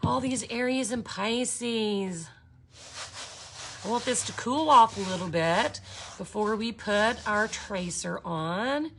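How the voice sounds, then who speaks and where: A woman's voice: on the high side, slow, background noise. A cheerful woman, teaching glass art on a livestream and chatting with viewers. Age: 50s.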